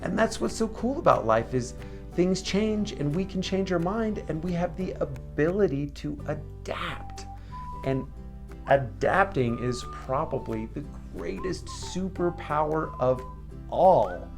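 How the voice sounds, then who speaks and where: A man's voice: a little high, expressive, slow, vocal fry, over music. A man hosting a children's storytime, talking casually and reflectively to young viewers in an encouraging, questioning way. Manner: encouraging, asks the listener questions.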